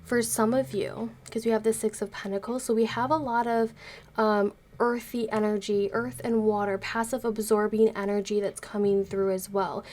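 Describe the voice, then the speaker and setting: A woman's voice: medium pitch, flat delivery, moderate pace, darkish tone. Congested woman reading tarot cards. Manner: chatty, rambling, many fillers. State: congested, recovering from illness. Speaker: tarot reader. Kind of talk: casual tarot reading to camera.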